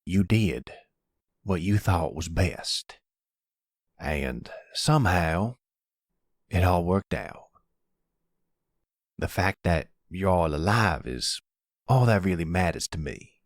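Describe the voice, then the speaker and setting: Man acting deep, slow, tender older grandfather. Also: lively intonation, no room sound, studio quality, exaggerated voice. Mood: soothing. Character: remorseful older grandfather, silver fox, tender, soothing. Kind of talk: ASMR roleplay audio drama.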